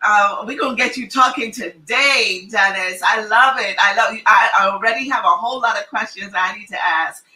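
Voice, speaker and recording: Bright Trinidadian woman, roomy and off-mic. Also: medium pitch, normal speed, hardly any pauses, distant mic. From Trinidad and Tobago. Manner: chatty, teasing, laughs often. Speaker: host. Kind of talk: casual panel talk.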